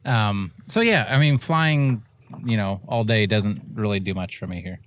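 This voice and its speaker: A man's voice: lowish voice, moderate pace, a little hiss or hum. A man co-hosting a podcast, chatting casually and in a laid-back way, often trailing off mid-thought. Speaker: podcast co-host. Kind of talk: casual chat. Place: outdoor flying event.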